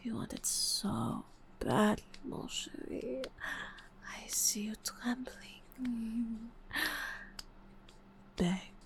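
A woman whispering a teasing, breathy roleplay, slipping in French endearments and soft laughs. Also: fairly high pitch, lively intonation, drawn-out words, pauses often. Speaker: audio roleplay performer.

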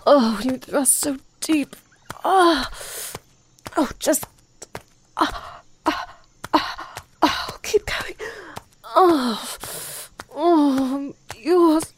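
A woman voicing a teasing, confident adult lover, speaking in a breathy, whispery way. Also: mid-range voice, slow, pauses often, darkish tone, slight background noise. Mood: passionate. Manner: breathy, whispery, moaning delivery. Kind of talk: scripted adult audio roleplay.

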